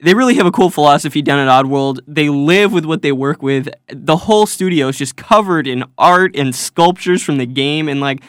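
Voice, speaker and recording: Smooth-voiced enthusiastic male host. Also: fairly high pitch, moderate pace, hardly any pauses, fairly bright tone, dry recording, clean studio sound. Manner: enthusiastic, chatty, many fillers. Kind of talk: casual recorded wrap-up narration.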